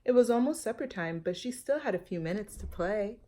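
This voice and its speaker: A woman with a mid-pitched voice reads a picture book for small children. She moves between storybook narration and chatty asides addressed to the young listeners. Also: expressive, brisk, warm tone. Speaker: storytime reader.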